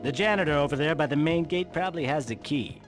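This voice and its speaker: An actor playing a bossy, scheming animal commando leader in a cartoon video game, giving melodramatic military-style orders. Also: male voice, fairly high pitch, quick pace, faint music behind, lightly acted. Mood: grandly solemn.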